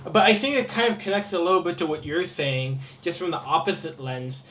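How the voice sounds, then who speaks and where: A man's voice: a little high, a little fast, fairly bright tone. A man from New York with an American accent, ranting casually as a sarcastic, sweary co-host. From Queens, New York, United States. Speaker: podcast co-host. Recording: roomy echo, faint background noise.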